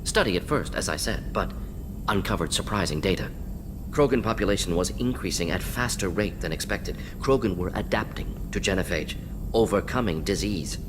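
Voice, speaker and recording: A clipped, swooping alien scientist. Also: male voice, low-pitched, expressive, normal speed, noisy background. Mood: explaining, dismissive. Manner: clipped telegraphic sentences, dropping small words. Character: brisk, self-assured male alien scientist defending grim work. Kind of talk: video game dialogue.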